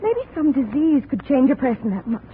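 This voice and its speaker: Anxious, indignant wife in a vintage radio drama. High voice, melodramatic, tinny and noisy. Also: female voice, sing-song, brisk. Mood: distraught. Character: distraught, indignant wife of a businessman. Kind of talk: 1950s radio acting. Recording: noisy, narrow-band vintage radio recording.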